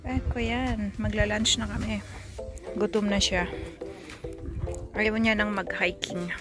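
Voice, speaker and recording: Unhurried Filipino woman vlogging. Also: mid-range voice, level tone. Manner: chatty, unscripted. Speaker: travel vlogger. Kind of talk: casual vlog narration. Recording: loud music behind the voice. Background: music.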